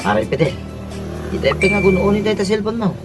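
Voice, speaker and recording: A man's voice: sits high, animated, normal speed, loud music behind the voice. A Filipino man with an Ilocano accent playing a chummy office buddy in a radio comedy drama. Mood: nagging, curious.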